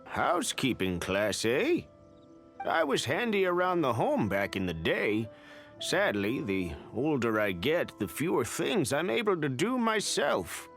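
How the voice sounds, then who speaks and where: Crusty old villager, wildly swooping voice. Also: male voice, mid-range voice, big pitch swings, a little slow, fairly bright tone, soft background music. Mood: wistful, doubting. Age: older. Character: crusty, exacting elderly male villager. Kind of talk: video game voice acting.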